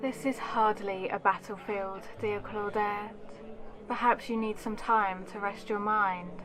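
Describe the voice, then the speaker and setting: A woman's voice: medium pitch, fairly even intonation, measured pace, over music, natural voice. A woman playing an aristocratic elder vampire in an ASMR audio roleplay, composed and commanding, with formal, condescending poise. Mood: calm, chiding.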